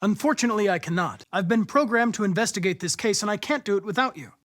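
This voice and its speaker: A man's voice: sits high, expressive, fast talker, fairly bright tone. A man voicing a polite, coolly logical young android detective in a video game, with formal, precise phrasing. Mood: calm, formal. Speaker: police investigator android.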